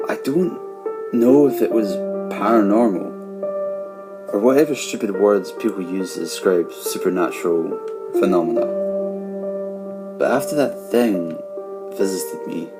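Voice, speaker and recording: A man telling a first-person horror story as a scripted narration. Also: low-pitched. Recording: loud music over the voice.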